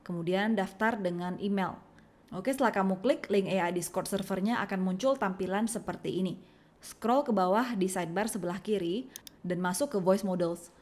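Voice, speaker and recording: A woman presents a software tutorial as scripted narration. Her voice sits at an average pitch for a woman, and she explains things step by step in an instructional way. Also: normal speed.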